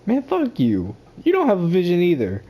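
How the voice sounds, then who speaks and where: A woman's voice: lowish voice, wildly swooping pitch, moderate pace, dark tone, slightly rough. A woman giving casual, sarcastic, slangy gameplay commentary with plenty of swearing. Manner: sarcastic, foul-mouthed, slangy. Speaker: game streamer.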